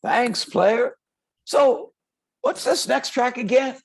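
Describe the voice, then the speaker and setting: A man playing a brash, streetwise poetic performer with a gravelly, husky voice, swaggering and declamatory in a table read. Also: very high-pitched, slow pace, exaggerated voice. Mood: brash, incredulous. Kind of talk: dramatic table read, slang and verse. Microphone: video call. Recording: video call.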